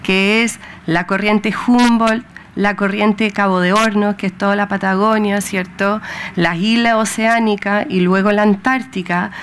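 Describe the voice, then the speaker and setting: A woman in her thirties from England gives a public science talk on a stage mic. Her voice sits at a middle pitch, and she talks with many hesitations and checking questions. A faint hum of room ambience runs behind her. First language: English. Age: 30s. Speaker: marine researcher giving a public talk. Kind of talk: public lecture.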